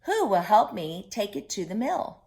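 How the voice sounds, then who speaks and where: A woman voicing an industrious, self-reliant little hen in a storybook, earnest and deliberate. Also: mid-range voice, sing-song, vocal fry, a little mellow. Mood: earnest, determined. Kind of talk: storybook character voice.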